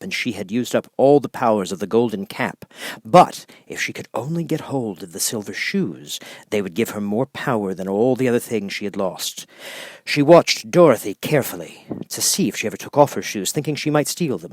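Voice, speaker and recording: A man reading scripted storybook narration as an audiobook narrator, in a measured, expressive storytelling manner. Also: lowish voice, no room sound.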